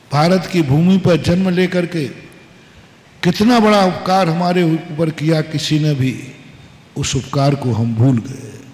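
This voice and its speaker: A man preaching a sermon as a monk, slow and emphatic, posing rhetorical questions and repeating short maxims. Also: on the high side. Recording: long hall reverb.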